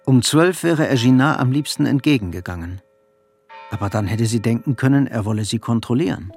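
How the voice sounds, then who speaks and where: A man giving measured, scripted literary narration for a radio drama. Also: mid-range voice, animated, vocal fry, a little mellow, slightly rough. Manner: measured, storytelling. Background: faint music.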